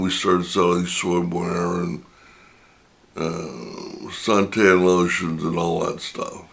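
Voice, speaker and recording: Older guy talking slow and thoughtful, low voice with lots of fry, bit raspy. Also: fairly bright tone. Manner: reflective and unhurried, many pauses. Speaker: channel host. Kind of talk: personal storytelling to camera.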